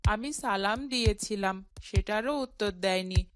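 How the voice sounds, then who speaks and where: A woman's voice: medium pitch, unhurried, a bit bright, a little hiss or hum, lightly acted. A woman voicing a polite, earnest young boy in a cartoon. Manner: earnest, respectful.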